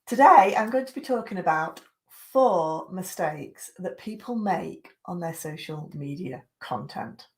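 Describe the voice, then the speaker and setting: Woman, croaky voice, chest infection, chatty live-stream trainer, middle-aged. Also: lowish voice, expressive, unhurried, few pauses. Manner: chatty, greets viewers by name. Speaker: social media trainer. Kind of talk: live-streamed tips talk.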